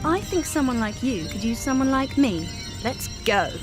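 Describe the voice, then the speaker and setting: Lively, high-voiced, haughty game heroine. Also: female voice. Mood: wry, self-assured. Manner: brisk, arch, sardonic. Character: haughty, wry, bossy young mistress. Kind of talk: fantasy game character dialogue. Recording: game music under the voice.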